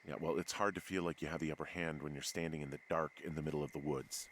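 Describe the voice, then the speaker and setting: An actor playing a wary, steady male fire lookout with an American accent and a dry, plain-spoken manner, in a naturalistic game drama. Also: a bass voice, fast, a little hiss or hum.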